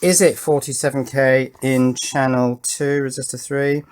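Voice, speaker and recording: A man's voice: mid-range voice, fairly even intonation, measured pace, darkish tone, clean tone. A man in his fifties with an English accent, giving casual, rambling commentary as he works, hesitating and questioning himself as he thinks aloud. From England. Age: 50s. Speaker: electronics hobbyist and video maker.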